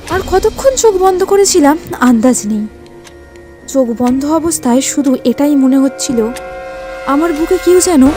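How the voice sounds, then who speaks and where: Woman, high-pitched voice, dramatic horror storytelling, suspenseful pauses, faint music behind. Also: darkish tone. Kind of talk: dramatic first-person horror story narration.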